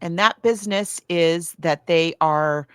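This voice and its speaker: A woman with a fairly low voice talks like a podcast host in a casual book discussion. She is chatty and enthusiastic, leaning on emphatic words. The sound is very dry, with no room echo. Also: unhurried, a bit bright, clean tone.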